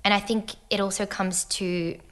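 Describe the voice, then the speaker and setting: A young woman with an Australian accent tinged with American, talking casually as a podcast guest and often dropping to a soft near-whisper when reflective. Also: medium pitch, fairly even intonation, moderate pace. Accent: Australian with American touches. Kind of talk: casual chat.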